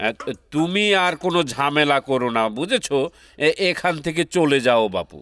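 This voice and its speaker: A man's voice: on the high side, lively intonation, normal speed, fairly bright tone, dry recording. A man playing a pompous, biased village headman in a cartoon, hemming and dismissive. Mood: dismissive, partial. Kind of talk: cartoon dialogue. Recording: music clearly under the voice. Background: music.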